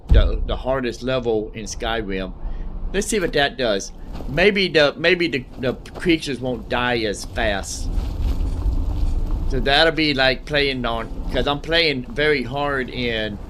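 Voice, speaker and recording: A man's voice: medium pitch, expressive, takes it slowly, fairly bright tone, slight background noise. A man giving casual live gameplay commentary as a streamer, rambling and thinking aloud. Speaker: game streamer, host. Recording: game music behind the voice. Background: game music.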